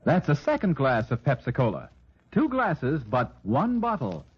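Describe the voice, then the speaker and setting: A man delivering a scripted old-time radio advertisement as a pitchman. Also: wildly swooping pitch, unhurried. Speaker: commercial pitchman. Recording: dull noisy old radio recording.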